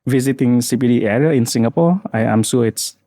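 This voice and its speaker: A man in his thirties from Vietnam with a Vietnamese accent, talking earnestly on a podcast with a halting flow and many fillers. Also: medium pitch, mellow, no echo, studio quality. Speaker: podcast guest, founder. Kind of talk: casual podcast chat.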